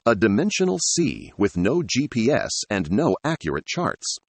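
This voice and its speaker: A man's voice: on the low side, very animated, normal speed, gravelly, slightly rough, no room sound. A man reading scripted dramatic narration as a story narrator.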